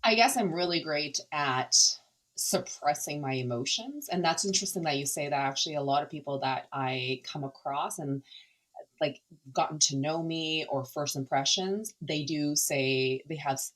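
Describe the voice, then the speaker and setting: A woman's voice: low-pitched, moderate pace, fairly bright tone, low-quality recording. A middle-aged woman from Canada whose first language is Cantonese, speaking earnestly and reflectively as a podcast guest, with hesitations and restarts. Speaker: podcast guest, nonprofit founder. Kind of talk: candid conversational interview.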